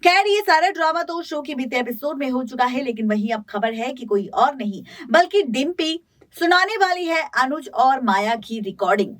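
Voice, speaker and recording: A woman's voice: sits high, lively intonation, moderate pace, a bit bright. A woman with an Indian accent presenting scripted entertainment news about TV serials in a dramatic, emphatic way. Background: background music.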